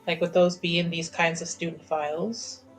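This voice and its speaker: A woman's voice: on the low side, flat delivery, moderate pace. Casual woman playing a tabletop game, music behind. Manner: informal, thinking aloud. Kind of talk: casual improvised roleplay chat. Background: background music.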